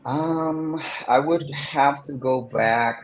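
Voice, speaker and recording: A man, speaking at a middle pitch, gives answers as a guest on an academic podcast. He works through ideas as a teacher would and keeps checking in with his listeners by asking "right?". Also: very slow, rough recording. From Puerto Rico. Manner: explains with rhetorical questions and frequent tag "right?". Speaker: interviewed English teacher.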